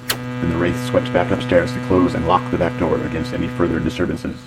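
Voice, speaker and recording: A very low male narrator with little pitch movement delivers a scripted adventure serial. His reading is measured and dramatic, with loud music behind it. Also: monotone, poor audio.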